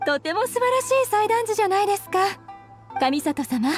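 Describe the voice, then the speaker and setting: A woman's voice: extremely high pitch, very animated, moderate pace. A woman voicing a polite, welcoming young female shopkeeper in scripted game dialogue. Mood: impressed, honored. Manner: polite customer-service speech. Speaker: game voice actor. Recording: studio voice over game music.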